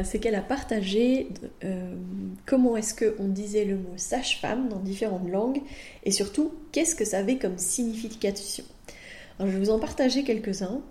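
A woman's voice: mid-range voice, moderate pace, mellow, reverberant. A woman from France with a French accent, hosting a podcast as a midwife and talking in a monologue.

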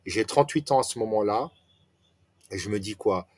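Lowish-voiced Frenchman recounting his career. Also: normal speed, darkish tone. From France. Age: 40s. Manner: explanatory, poses questions to himself and answers them. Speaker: interview guest, entrepreneur. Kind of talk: casual personal storytelling in an interview.

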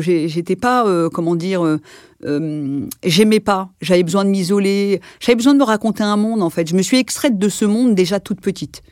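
A woman's voice: lowish voice, a little mellow, no room sound. Hesitant woman in her sixties, dry close mic. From France. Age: 60s. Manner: hesitant, reflective, many fillers and restarts. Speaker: interview guest. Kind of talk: personal life-story interview.

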